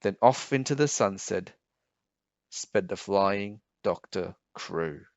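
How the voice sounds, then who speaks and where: A man narrates a children's book at an unhurried pace with lively, swooping intonation. He is emphatic and expressive, recorded close and dry with slightly muffled sound. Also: low-pitched, dry recording. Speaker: storybook reader. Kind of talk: children's read-aloud narration.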